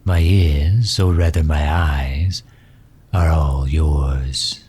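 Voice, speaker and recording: A man playing a courtly megalomaniac villain in an ASMR audio drama, speaking formally and with measured, gentle solicitude. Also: fairly even intonation, exaggerated voice. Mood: tender, patient. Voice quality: husky, low. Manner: formal, measured, silky endearments.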